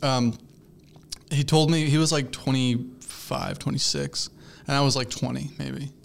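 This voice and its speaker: Gravelly-voiced rambling young man. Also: mid-range voice, fairly even intonation, measured pace, slight background noise. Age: 20s. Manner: rambling, hesitant, full of fillers and restarts; laughs easily, gets flustered when teased. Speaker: podcast co-host. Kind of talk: casual chat.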